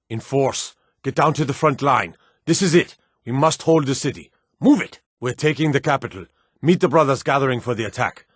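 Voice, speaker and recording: A man playing a stern, battle-hardened military officer in a fantasy video game, brisk, commanding and gruffly matter-of-fact as he gives orders. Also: medium pitch, dry recording, studio quality.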